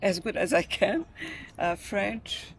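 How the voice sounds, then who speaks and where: Man, native Portuguese speaker, very high voice, gravelly, quiet modest answers. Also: slow pace, slight background noise. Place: outdoors on a city street.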